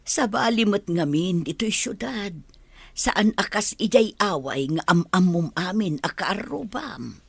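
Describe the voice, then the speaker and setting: A woman playing a gentle, devout mother in a Filipino audio drama, with an Ilocano accent. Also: medium pitch, expressive, measured pace, gravelly, husky, no room sound, full character voice. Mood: soothing. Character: gentle, devout mother comforting her child.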